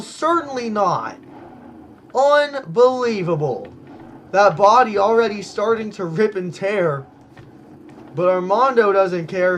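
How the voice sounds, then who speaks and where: Man, excited play-by-play commentator, high voice, unhurried delivery, losing his voice. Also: a little hiss or hum. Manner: hyped, exclaims often. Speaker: commentator for a simulated monster truck series.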